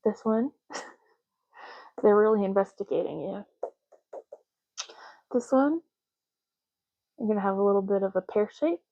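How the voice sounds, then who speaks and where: A soft-spoken woman doing an ASMR roleplay as a clerk, explaining gently and unhurriedly in short, broken phrases. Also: medium pitch, expressive, unhurried, gravelly, pauses often, dull audio, slight background noise. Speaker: ASMR creator playing an ID card clerk.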